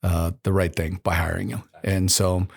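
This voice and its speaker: A man's voice: low-pitched, flat delivery, moderate pace, creaky, slightly rough. A middle-aged man with a rural American accent, hosting a casual interview and talking in a rambling way with many restarts. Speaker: podcast host, military chief.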